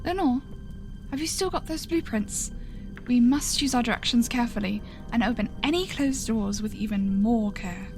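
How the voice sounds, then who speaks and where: A woman plays a careful adventurer in a gothic horror drama. Her voice is slightly high, and faint music sits behind it. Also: expressive, moderate pace, a little mellow. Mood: cautious, urgent. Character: cautious adventurer exploring a haunted manor.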